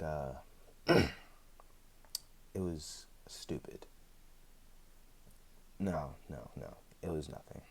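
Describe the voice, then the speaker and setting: A man's voice: rumbling low, a little mellow, exaggerated voice. A man playing a chatty, sheepish immortal in a roleplay audio drama, talking in a hesitant, conversational way with dry humor. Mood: evasive, sheepish. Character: chatty, sheepish, evasive immortal man, freshly back from death.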